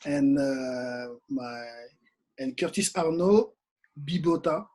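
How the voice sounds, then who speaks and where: A man from Congo, raised in Paris, talking as an interview guest in halting English, tired after a workday. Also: mid-range voice. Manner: halting non-native English, soft-spoken. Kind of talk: casual interview conversation.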